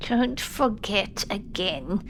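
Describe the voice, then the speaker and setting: A grave, disapproving old grandmother as a woman narrator puts her on speaks in an audiobook. The voice is quavery, hushed and slow, gentle but stern, with faint noise behind it. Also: mid-range voice, expressive, low-quality recording. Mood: grave, reproving. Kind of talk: audiobook character voice.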